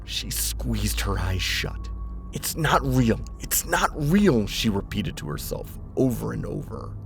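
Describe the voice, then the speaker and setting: A man reading scripted dramatic fiction as narrator, in a measured, grave manner. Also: on the low side, big pitch swings, creaky. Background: music.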